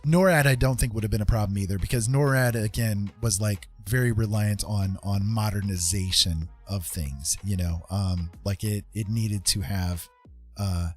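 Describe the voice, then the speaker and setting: An American man hosting a livestream, chatting casually and explaining things in an informal, halting, rambling way. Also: fairly low pitch, darkish tone. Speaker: livestream host chatting with viewers.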